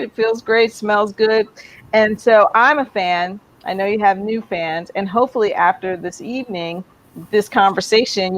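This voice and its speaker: A woman's voice: mid-range voice, poor audio. A woman hosting a casual live interview, chatty and enthusiastic, with lots of fillers. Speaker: host.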